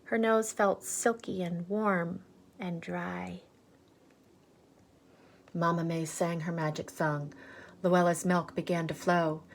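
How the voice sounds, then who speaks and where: A woman reading a picture book aloud in an expressive, teacherly way, pausing to put asides and questions to young listeners. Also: on the low side. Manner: animated, teacherly, pauses for questions.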